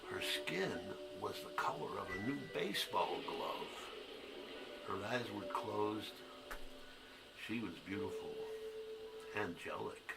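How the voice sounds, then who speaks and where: A man's voice: fairly low pitch, gravelly, exaggerated voice, poor audio. An actor playing a crude, haunted man who halts and confides as he tells a story in a stage monologue. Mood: hushed, reverent. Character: crude, haunted man recounting a boyhood secret. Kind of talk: one-act monologue, storytelling.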